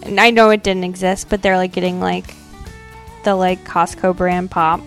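A woman's voice: lowish voice, level tone, normal speed, soft background music. Wry woman in casual podcast chat. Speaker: podcast co-host.

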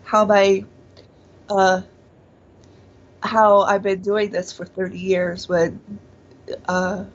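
A woman's voice: mid-range voice, level tone, slow pace. A woman in her forties, born in Taiwan and raised in Pennsylvania, speaking as an interview guest, hesitant with many fillers and restarts. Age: 40s. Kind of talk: casual interview, personal testimony. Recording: dull lo-fi audio.

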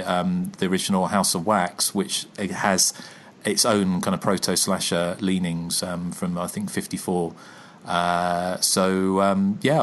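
A man hosting a podcast, chatting about a film and passing the floor to his co-hosts. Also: sits low. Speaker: podcast host. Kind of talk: casual film review chat.

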